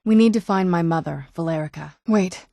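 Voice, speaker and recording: A woman's voice: fairly low pitch, a little fast, creaky, dark tone, no echo. Wry, guarded vampire woman, dry close sound. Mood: focused. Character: young-looking female vampire, wry, guarded, wounded by family. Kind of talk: video game character dialogue.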